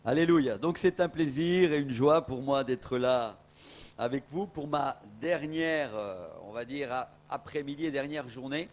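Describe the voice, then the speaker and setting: A man's voice: mid-range voice, a little slow. A man from Portugal preaching a sermon as an evangelist in a church, emphatic, with rising exclamations.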